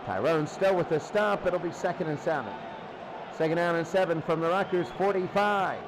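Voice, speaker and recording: High-voiced man calling football over noise. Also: normal speed. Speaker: play-by-play sports commentator. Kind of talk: American football radio-style play-by-play commentary.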